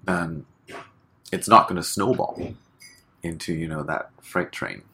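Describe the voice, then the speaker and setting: A man from Hong Kong talks as a guest in a loose, easygoing interview, dropping fillers and laughing between thoughts. His voice sits very low, with a husky grain and a bright, forward edge. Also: a little slow. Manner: relaxed, chatty, laughs often, many fillers. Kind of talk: casual interview chat.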